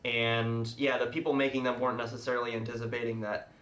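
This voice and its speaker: Bright-voiced man rambling in echoey room. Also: mid-range voice, little pitch movement, a little hiss or hum. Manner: rambling, halting, self-interrupting explainer. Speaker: podcast host. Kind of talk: casual chat.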